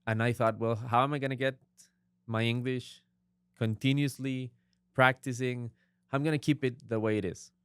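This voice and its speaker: Costa Rican man, young, Spanish-accented English, earnest guest, smooth voice, dry close mic. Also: mid-range voice, steady tone, normal speed, fairly bright tone, dry recording. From Costa Rica. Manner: earnest, frequent agreeing murmurs. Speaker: guest author. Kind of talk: casual interview.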